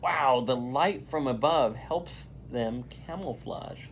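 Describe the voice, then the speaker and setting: A man's voice: medium pitch, takes it slowly, slight background noise. A man voicing a curious, easily amazed schoolboy in a children's storybook, questioning and wide-eyed.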